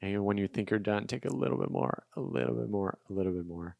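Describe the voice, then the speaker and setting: A man's voice: on the low side, fast talker, creaky, a little mellow, dry recording. A man whose native language is Russian, telling a personal story in an unscripted solo podcast, reflective and repeating phrases for emphasis. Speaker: podcast host.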